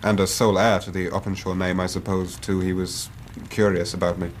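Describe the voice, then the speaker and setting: A man acts an earnest young English client in a period radio drama. His voice is quite deep, his telling measured and formal. There is faint noise in the recording. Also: little pitch movement, natural voice. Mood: troubled, reflective. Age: young adult.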